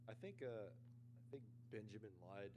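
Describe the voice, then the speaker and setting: A man's voice: on the low side, very animated, moderate pace, mellow, rough recording. A man co-hosting a fairy tale reading, chatting casually with informal, joking commentary. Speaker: co-host reading fairy tales. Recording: noticeable background noise.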